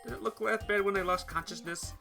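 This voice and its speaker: A man's voice: sits high, steady tone, fairly quick, vocal fry, bright, forceful tone, faint music behind, voice pushed up, full character voice. A man reading the part of a put-upon teenage boy in a visual novel, complaining and sardonic. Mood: exasperated.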